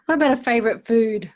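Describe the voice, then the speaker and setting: A woman hosting a casual interview in an encouraging, affirming way. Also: high voice.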